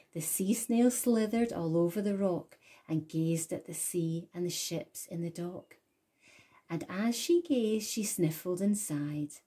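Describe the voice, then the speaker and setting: A woman reading a children's picture book aloud in an expressive, rhythmic bedtime-story style. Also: on the low side, husky. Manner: deliberate, rhythmic, animated read-aloud delivery.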